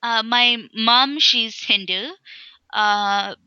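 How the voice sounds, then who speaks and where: A young woman from Sri Lanka, an interview guest speaking in a halting way with many fillers and restarts. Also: on the high side, steady tone, bright, forceful tone, clear, resonant tone, no echo, dull audio. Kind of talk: casual interview talk.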